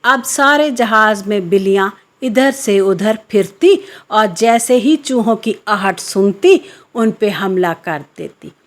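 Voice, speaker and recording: Female storyteller, scripted kids' narration, unhurried measured delivery, mid pitch, background music.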